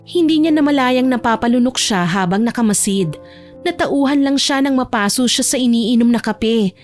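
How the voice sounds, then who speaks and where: A woman narrates a scripted romance story. Her voice sits fairly high, and music plays behind the narration. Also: normal speed. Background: background music.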